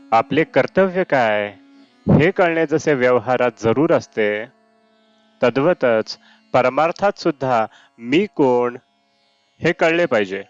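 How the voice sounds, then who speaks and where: Slow, measured man reading a devotional discourse. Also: medium pitch, smooth, highs cut off. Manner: measured, deliberate phrasing. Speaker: reader of spiritual discourses. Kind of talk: read-aloud devotional discourse. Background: Indian classical raga music with sitar.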